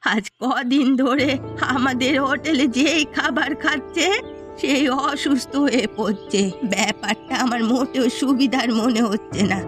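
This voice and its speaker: A woman's voice: high-pitched, measured pace, over music. A woman voicing a scheming, vengeful elderly mother who runs a village eatery in a cartoon, speaking confidently and conspiratorially. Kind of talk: cartoon story voice acting.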